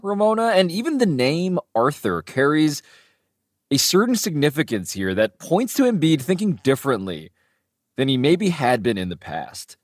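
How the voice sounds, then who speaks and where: A man hosting a podcast, asking measured, leading questions. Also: medium pitch, no echo, clean studio sound. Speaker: podcast host. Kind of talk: interview questions.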